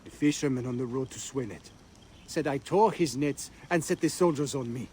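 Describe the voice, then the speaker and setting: A man's voice: medium pitch, normal speed, darkish tone, slight background noise, close to an everyday voice. An actor playing an aggrieved male townsman seeking justice in a video game, earnest and grumbling.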